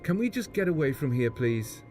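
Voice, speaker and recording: A man's voice: a little high, big pitch swings, moderate pace, dark tone, soft background music. A man playing a guarded, blunt travelling companion in a sci-fi audio drama. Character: guarded, plain-spoken male travelling companion.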